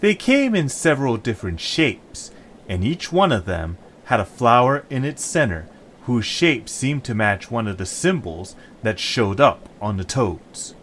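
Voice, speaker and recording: A man's voice: mid-range voice, big pitch swings, measured pace, a bit bright, slight background noise. A man narrating a scripted adventure story as the narrator.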